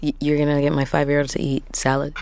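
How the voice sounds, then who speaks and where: Tired lady trucker, worried mom, low voice, kinda halting, audio drama style. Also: monotone, vocal fry, darkish tone, a little hiss or hum. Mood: tender, apologetic. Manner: halting, stammering. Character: weary woman truck driver, worried mother of young kids. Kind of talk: naturalistic immersive audio drama acting.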